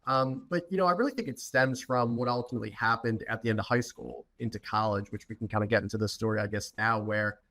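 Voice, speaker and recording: A man's voice: medium pitch, fast, few pauses, bright, forceful tone. Informal young man telling a personal story. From United States, Philadelphia. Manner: informal, many restarts and repeated words. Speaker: podcast guest, entrepreneur. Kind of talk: personal storytelling in a podcast interview.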